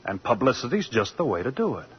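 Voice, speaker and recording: An actor playing an earnest, determined young lawyer in 1940s radio-drama style, persuasive and loyal. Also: male voice, mid-range voice, very animated, quick pace, husky. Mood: resolute. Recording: dull lo-fi 1940s radio broadcast with faint hiss.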